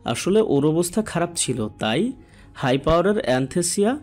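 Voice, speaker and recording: Male actor, young doctor, patient and reassuring, slightly high voice, music underneath. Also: big pitch swings, moderate pace, darkish tone. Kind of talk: Bengali audio story acting.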